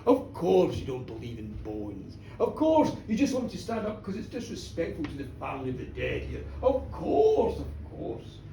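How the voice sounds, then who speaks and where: A storyteller voicing a tall, sinister gentleman who taunts theatrically with drawn-out words and loud laughter. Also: mid-range voice, huge pitch swings, roomy sound, far from the mic, slight background noise, voice pushed down, rough recording. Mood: teasing. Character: tall sinister mocking gentleman, gleefully menacing.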